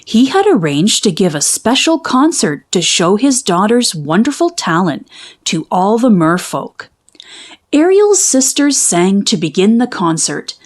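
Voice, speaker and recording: A woman reading a children's story aloud in expressive, dramatic, carefully phrased narration. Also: mid-range voice, unhurried. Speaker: storybook reader.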